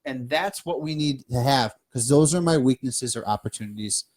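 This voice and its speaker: A man with a Midwestern American accent co-hosting a business podcast, talking in an animated, emphatic way and listing points off one by one. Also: mid-range voice, moderate pace. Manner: animated, emphatic, lists things off. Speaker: podcast co-host. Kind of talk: casual business chat. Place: studio.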